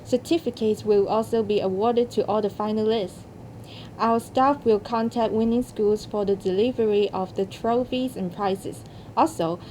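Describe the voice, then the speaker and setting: A woman delivers a scripted results announcement as a co-host. Her voice sits a little high and has a relaxed, dark-edged tone. There is a faint noise behind her. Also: rough recording.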